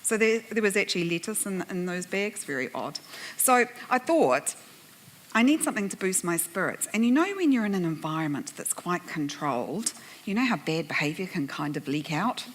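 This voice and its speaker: A woman's voice: on the low side, expressive, normal speed, slight background noise, poor audio. A woman with a New Zealand accent, moderating a conference panel and telling a humorous, self-deprecating story to the audience with frequent laughs. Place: conference plenary room.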